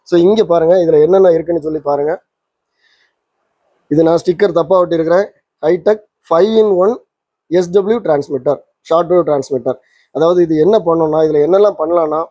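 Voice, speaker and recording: A man's voice: high voice, mellow. A man giving an informal hands-on demonstration, explaining conversationally and often rephrasing his points. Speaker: presenter of a vintage radio repair channel. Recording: roomy echo, slightly dull lo-fi sound.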